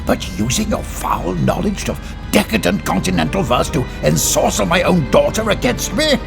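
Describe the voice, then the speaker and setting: A man's voice: sits high, big pitch swings, normal speed, vocal fry, music in the background, full character voice. An actor playing a pompous, menacing old mad scientist and father in an old-time radio melodrama, grandiose and theatrical. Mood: indignant, accusing.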